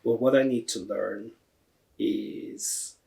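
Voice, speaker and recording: A man with a Northern Irish accent giving conversational answers as an interview guest, his voice creaky and gravelly. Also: on the low side, steady tone, slow, darkish tone. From Northern Ireland. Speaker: podcast guest, translator and association chair.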